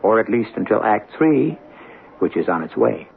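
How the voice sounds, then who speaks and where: A man hosting a suspense radio drama, narrating in a measured, ominous, philosophical manner. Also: fairly low pitch, sing-song, creaky, noisy background. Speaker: host and narrator. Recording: narrow old radio broadcast with music.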